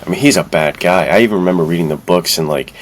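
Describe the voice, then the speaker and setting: Very deep-voiced man chatting casually. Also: fast. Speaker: podcast co-host. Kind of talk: casual film discussion.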